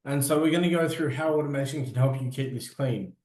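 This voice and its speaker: A man presenting an informal software demo, walking listeners through it step by step in an echoey room. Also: medium pitch, a little fast.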